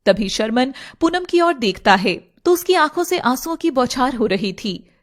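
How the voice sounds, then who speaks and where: A woman's voice: high-pitched, sing-song, moderate pace. A woman narrating a scripted story in a dramatic storytelling style.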